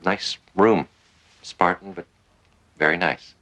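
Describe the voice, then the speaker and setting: An actor playing a calm, patient male psychiatrist in a television drama, speaking gently and a little hesitantly as he probes. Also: deep voice, takes it slowly. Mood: friendly, gentle. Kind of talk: naturalistic television drama dialogue.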